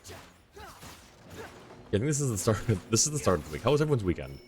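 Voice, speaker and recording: A man's voice: fairly low pitch, sing-song, brisk, darkish tone. A man streaming a game live, chatting casually and rambling as he thinks aloud. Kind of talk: casual livestream chat.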